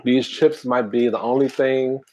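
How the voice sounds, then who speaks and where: A man hosting a casual eating stream, talking softly and half-whispering in a relaxed, drowsy way while reading comments. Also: medium pitch, measured pace, a little hiss or hum. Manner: soft, quiet, half-whispered talk. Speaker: ASMR mukbang channel host.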